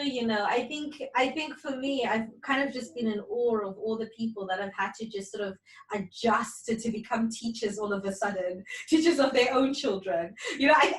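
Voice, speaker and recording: A woman co-hosting a casual chat in an animated, gushing manner, recorded in a roomy space away from the microphone. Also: a little high, far from the mic. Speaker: podcast co-host.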